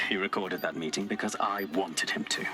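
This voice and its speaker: An actor playing an arrogant, pompous British spymaster villain in a video game, speaking with deliberate contempt. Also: male voice, low-pitched, steady tone, natural voice. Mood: gloating menace. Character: arrogant, scornful British spymaster villain, middle-aged man. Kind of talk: video game cutscene acting. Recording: roomy, distant, slightly noisy.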